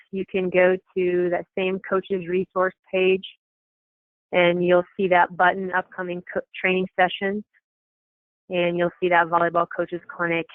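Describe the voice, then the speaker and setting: A program coordinator giving an informal, matter-of-fact, explanatory briefing to coaches over a telephone conference call. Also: medium pitch. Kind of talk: informal meeting presentation. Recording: tinny, echoey telephone conference line.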